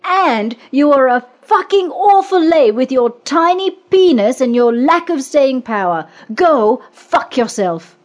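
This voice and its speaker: A woman's voice: on the high side, sing-song, normal speed, darkish tone, voice pushed up. A woman voicing a cold, domineering female assessor who speaks in a clipped, commanding way. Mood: furious.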